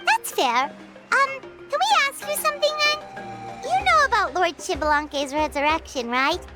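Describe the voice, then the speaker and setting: A woman voicing a tiny, perky, self-important fairy girl guide in a fantasy game, with a squeaky, childlike and excitable delivery. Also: unhurried, over loud music, heavily acted. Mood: eager, curious. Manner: childlike, excitable, refers to herself in third person.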